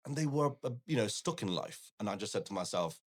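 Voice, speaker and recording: A man from the English Midlands with a low voice and a local accent, whose first language is Punjabi. He talks at length as an interview guest, spinning anecdotes and acting out bits of dialogue in a conversational way. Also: lively intonation, quick pace. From Coventry, England. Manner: talkative, anecdotal, often quoting imagined dialogue. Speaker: podcast guest, forex trader and educator. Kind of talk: conversational podcast interview, telling personal stories.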